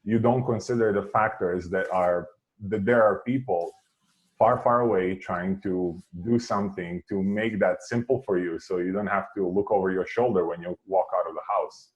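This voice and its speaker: A man with a very low voice and a Serbian accent. He speaks earnestly, as in an impromptu speech at a public speaking club. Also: moderate pace, rough recording.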